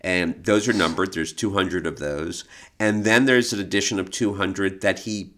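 Male podcast co-host, notably low pitch, knowledgeable conversational explainer with a stop-and-start delivery. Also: normal speed, a bit bright. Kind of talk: conversational explanation of art and television history.

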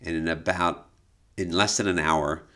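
A man with a deep voice and a crisp, bright edge talks casually as a podcast co-host. He unspools anecdotes in a conversational style, as one half of a relaxed discussion. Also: moderate pace.

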